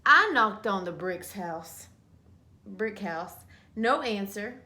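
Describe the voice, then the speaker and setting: A woman's voice: medium pitch, lively intonation, takes it slowly, sharp tone. A woman voicing a self-justifying, folksy male wolf in a children's storybook read-aloud, with dramatic mock-innocent delivery. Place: small indoor room at home.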